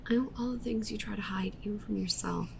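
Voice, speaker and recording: A woman's voice: medium pitch, fast, creaky, noisy background. A woman playing an obsessive, clingy yandere witch streamer in an intimate roleplay ASMR monologue, half-whispering with intensity. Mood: obsessive, feverish.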